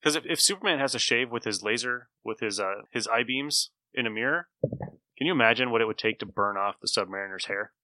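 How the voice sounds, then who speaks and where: A man's voice: mid-range voice, fairly quick, sharp tone, no echo, low-quality recording. A man hosting a podcast in casual, conversational discussion. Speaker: podcast host.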